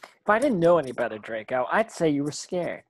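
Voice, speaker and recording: A man's voice: a little high, very animated, a little fast, no room sound. A man playing an earnest, brave schoolboy wizard in an amateur screenplay table read. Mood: teasing.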